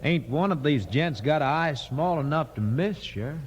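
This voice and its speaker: An actor playing an unruffled, polite young mountain sharpshooter with a quiet American backwoods drawl on 1950s radio. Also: male voice, on the high side, expressive, moderate pace, close to an everyday voice. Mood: cool, polite. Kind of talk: 1950s western radio acting. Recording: dull, faintly noisy old radio recording.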